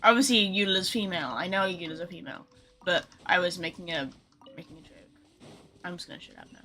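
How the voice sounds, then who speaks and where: A woman's voice: fairly low pitch, fast, bright, forceful tone, slight background noise. A chatty woman giving casual, joking, sweary live commentary as she streams a game.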